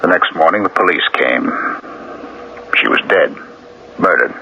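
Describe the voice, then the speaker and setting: Deep-voiced, guilt-ridden man. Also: animated, vocal fry, a little hiss or hum, heavily acted. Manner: hesitant, halting, earnest. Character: troubled, guilt-ridden married man confessing to a priest. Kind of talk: 1970s radio drama acting. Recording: muffled vintage radio recording.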